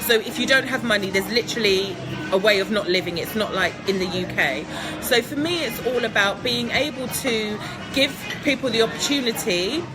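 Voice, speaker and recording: A woman's voice: on the high side, moderate pace, music in the background, crowd noise, poor audio. A woman from England, answering interview questions in a chatty, upbeat way, laughing often and filling with ums. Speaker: guest entrepreneur.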